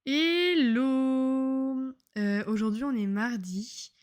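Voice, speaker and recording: A woman's voice: high-pitched, very slow, darkish tone. A young woman chats into a home microphone in a loose, diary-style podcast. She rambles from one thing to the next, slangy and self-mocking, with a casual everyday tone. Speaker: podcaster, medical student. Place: at home on a couch.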